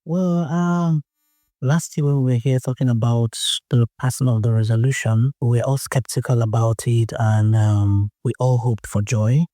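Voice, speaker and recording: A man's voice: medium pitch, fairly even intonation, moderate pace, mellow, dry recording, studio quality. A man with a Nigerian accent speaking as an activist in a panel discussion. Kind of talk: spoken discussion.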